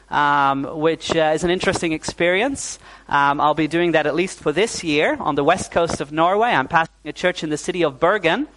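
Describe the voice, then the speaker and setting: Higher-voiced young male preacher. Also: poor audio. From New Zealand. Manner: warm, fillers like "uh", calls for "amen". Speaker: pastor. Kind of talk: sermon to a congregation.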